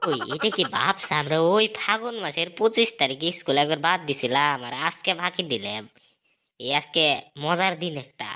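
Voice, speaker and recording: A woman voicing an excitable, grumbling schoolboy sidekick in a comedy cartoon, with a rural Bangladeshi Bengali accent. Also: sits low, normal speed, slight background noise.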